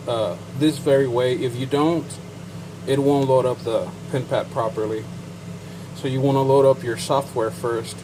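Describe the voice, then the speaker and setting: A man's voice: medium pitch, noisy background. A man with a Chicano Mexican-American accent, bilingual, casually walking through a technical setup as a certified technician, rambling with fillers and asides. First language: bilingual English and Spanish. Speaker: certified payment terminal technician. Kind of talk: casual how-to demonstration.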